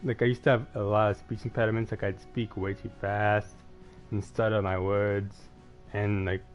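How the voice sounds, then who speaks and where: A man's voice: fairly low pitch, little pitch movement, normal speed. Lisping young-sounding man streaming a game. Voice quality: slight lisp. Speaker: gaming streamer. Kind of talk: casual live gameplay commentary, chatting with viewers. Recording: dull lo-fi mic, music behind.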